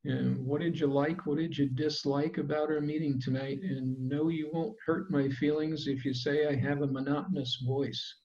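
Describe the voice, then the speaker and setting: Man, slightly higher voice, easygoing host on a video call, dull lo-fi audio. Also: normal speed, few pauses. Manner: relaxed, chatty, many fillers. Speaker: meeting host. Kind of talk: casual meeting wrap-up.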